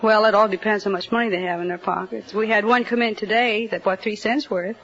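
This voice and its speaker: A woman's voice: mid-range voice, steady tone, brisk. Young american lady contestant on old radio show, muffled and really noisy recording. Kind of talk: answers to a radio host.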